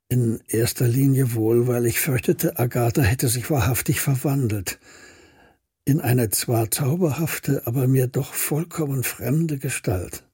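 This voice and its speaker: An actor playing a melancholy middle-aged man recalling his childhood in measured, reflective radio drama narration. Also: medium pitch, mellow, breathy edge, dry recording, clean studio sound.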